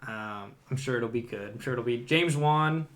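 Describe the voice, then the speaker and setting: A man's voice: medium pitch, moderate pace, a bit bright. A man co-hosting a podcast, discussing films in casual conversation. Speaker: podcast co-host.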